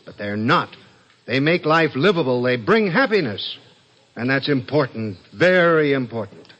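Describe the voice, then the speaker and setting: An actor playing a kindly, dignified head of a heavenly department, speaking in solemn, emphatic oratory in 1950s radio drama style. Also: male voice, medium pitch, very animated, moderate pace, fairly bright tone. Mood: solemn, earnest. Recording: dull, band-limited 1950s radio recording.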